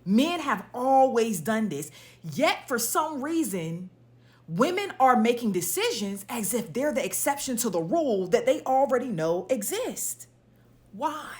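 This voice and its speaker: A woman's voice: fairly high pitch, sing-song, unhurried, a little mellow. A woman giving an opinionated monologue to viewers, blunt and emphatic, posing pointed rhetorical questions as she goes. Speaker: social media commentator.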